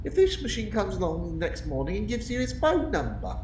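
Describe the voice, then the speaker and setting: An amateur actor playing a belligerent, streetwise philosopher who argues like a shop steward in a radio comedy. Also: male voice, high-pitched, huge pitch swings, a little fast, a little mellow. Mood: truculent. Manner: argumentative, truculent. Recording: echoey, distant, faint noise.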